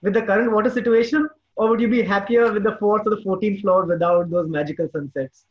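A man's voice: high voice, steady tone, fast talker, lo-fi sound. A man hosting a casual chat interview, often chiming in with short words of agreement. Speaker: podcast host.

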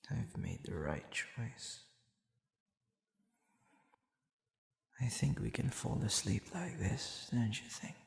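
A man voicing a tender, flustered demon boyfriend in an intimate ASMR roleplay, breathy and often near a whisper, speaking hesitantly. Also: deep voice, reverberant, exaggerated voice. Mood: blissful, drowsy. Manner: slow, hesitant, soft chuckling.

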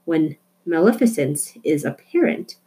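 A woman voicing an earnest, excitable young girl in a storybook, explaining things eagerly and emphatically. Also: low voice, measured pace, slightly rough, heavily acted. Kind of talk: storybook character voice.

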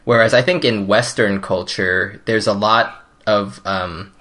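A man's voice: fairly low pitch, fairly even intonation, unhurried, sharp tone. A young man whose first language is Cantonese, chatting casually in a laid-back way, full of fillers and hedges. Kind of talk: casual chat.

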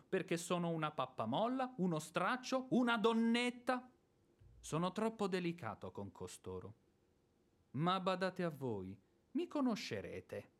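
An actor playing a blustering, hot-tempered landowner and former officer in a radio drama, emphatic, sarcastic and grumbling. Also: male voice, high voice, fairly bright tone, exaggerated voice. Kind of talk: theatrical radio drama acting.

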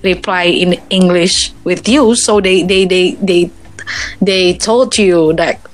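A woman's voice: lowish voice, slow, faint music behind, low-quality recording. A woman from Indonesia with an Indonesian accent, giving earnest advice in casual English chat, often restarting and repeating words.

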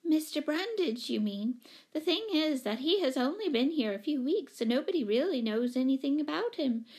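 A woman's voice: high voice, expressive, moderate pace, a little mellow, voice pushed up. A woman voicing a fussy, anxious, chatty storybook lady who rambles apologetically and eagerly. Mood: flustered, eager.

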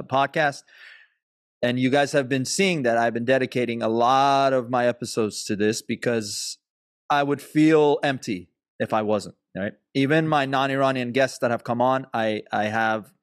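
A man's voice: mid-range voice, dry recording. Dry-sounding male podcast host. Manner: animated, swearing, restarts often. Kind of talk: casual podcast interview.

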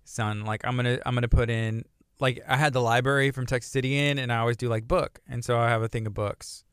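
A man in his thirties hosting a casual chat, relaxed and rambling with lots of fillers. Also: mid-range voice, fast talker, smooth, no room sound, studio quality. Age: 30s. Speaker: host.

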